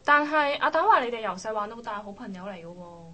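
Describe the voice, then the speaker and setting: A woman's voice: fairly high pitch, expressive, fast talker, bright, forceful tone, faint music behind. A woman acting a frank, teasing young friend and coworker in a Cantonese radio drama, with a Hong Kong accent. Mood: gentle, puzzled.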